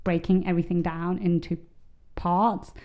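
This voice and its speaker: A woman's voice: on the low side, flat delivery, moderate pace, mellow, muffled recording. A woman co-hosting a podcast in casual chat, rambling with many fillers and restarts. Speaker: podcast co-host.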